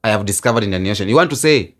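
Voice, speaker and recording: A man arguing his point in a casual debate chat, insistent and animated. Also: fairly low pitch, very fast. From Kenya. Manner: insistent, repeats "let me tell you", animated.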